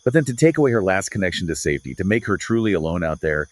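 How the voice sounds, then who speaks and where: Guy on a book podcast, raspy voice, dry close sound, chatty but dramatic. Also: low-pitched, big pitch swings, rapid-fire, no echo. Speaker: podcast co-host. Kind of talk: conversational literary discussion.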